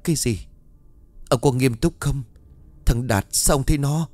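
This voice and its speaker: A man's voice: medium pitch, measured pace, a little mellow, dry recording, faint music behind, voice pushed up, natural voice. A man voicing an anxious, distraught older mother in a horror story, pleading and wailing.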